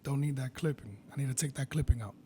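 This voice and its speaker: A man with a baritone voice, casually walking viewers through making a beat and rambling as he goes. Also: quick pace. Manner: relaxed, rambling, self-correcting. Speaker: beat producer. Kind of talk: casual tutorial talk. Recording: faint music behind.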